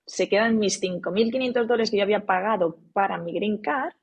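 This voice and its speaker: A woman from Spain in a relaxed podcast conversation, her voice husky and breathy at a medium pitch. She talks in long turns, breaks off words to restart them and repeats key phrases for emphasis. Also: fairly quick. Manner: frequent restarts, emphatic repetition. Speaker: podcast guest, actress. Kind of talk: casual interview conversation.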